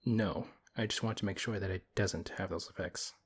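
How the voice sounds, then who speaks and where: Guy doing a big strong paladin for asmr roleplay, really deep husky voice, stern and kinda dry, wary but helpful. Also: fairly even intonation. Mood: cautious, reassuring. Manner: stern, measured, dryly skeptical. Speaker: audio roleplay performer. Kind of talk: ASMR audio roleplay acting.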